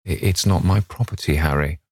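A man voicing a sensitive male painter, earnest and emotional, halting when upset, in a novel reading. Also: deep voice, slightly rough, no room sound, studio quality. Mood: eager, anxious.

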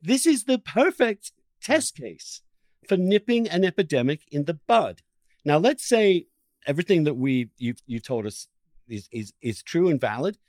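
A man's voice: on the high side, very animated, moderate pace, darkish tone, no room sound, clean studio sound. A man hosting an interview in an impassioned conversational style, stressing his points emphatically with repeated words and piled-up phrases. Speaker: podcast host interviewing a guest.

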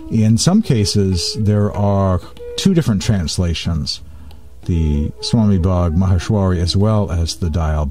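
A man with a fairly low-pitched, soft-edged and relaxed voice presents a spiritual program. He reads and explains devotional texts deliberately, pausing between phrases. Faint music runs underneath his voice. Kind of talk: reading and commenting on spiritual texts.

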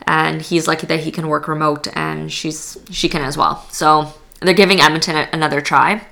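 A young woman from Poland speaks as a podcast guest. Her voice sits very low, with a gravelly, bright edge. She talks in a loose, chatty way, full of fillers and restarts. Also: level tone, normal speed. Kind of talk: casual interview chat.

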